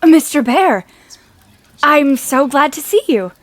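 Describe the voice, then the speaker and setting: An actress voices a spirited young woman from a period audio play. Her voice sits on the high side, with warm, teasing, old-fashioned speech. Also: expressive, unhurried, a little hiss or hum. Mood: eager, welcoming. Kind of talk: period audio drama acting.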